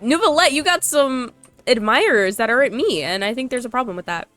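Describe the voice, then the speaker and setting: A woman's voice: a little high, animated, a little fast, a bit bright, no echo. A woman casually reacting to a game as a streamer, playful, gushing and teasing. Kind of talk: casual gameplay reaction chat. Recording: background game music.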